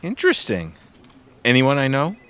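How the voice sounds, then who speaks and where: A man's voice: mid-range voice, wildly swooping pitch, normal speed, a bit bright, smooth. An actor playing a smug, conniving office underling in a comic audio drama, arch and insinuating as he gossips. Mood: sly, smug.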